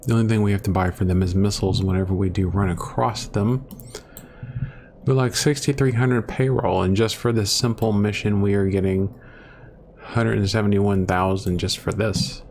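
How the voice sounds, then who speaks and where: Rambling gamer guy, low voice. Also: steady tone, moderate pace, a little mellow, slight background noise. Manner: rambling, self-deprecating, thinks aloud while playing. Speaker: gaming channel host. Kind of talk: casual gameplay commentary. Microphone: desktop microphone, close.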